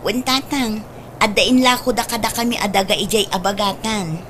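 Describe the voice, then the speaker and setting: A woman's voice: mid-range voice, normal speed, fairly bright tone, slight background noise, natural voice. A woman with an Ilocano accent playing a grateful, respectful young married daughter in a radio drama, earnest and deferential.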